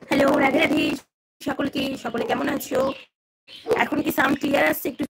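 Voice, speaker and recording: A woman's voice: sits high, fairly even intonation, a little fast. A woman hosting a casual devotional livestream, repeating greetings and questions to her viewers. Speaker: livestream host. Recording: clearly noisy livestream audio with clicks.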